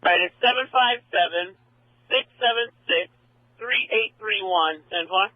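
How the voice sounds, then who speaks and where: A woman's voice: medium pitch, a little slow, no room sound. A woman working as a police dispatcher, speaking tersely in codes and numbers over a two-way radio. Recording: tinny narrowband police radio transmission.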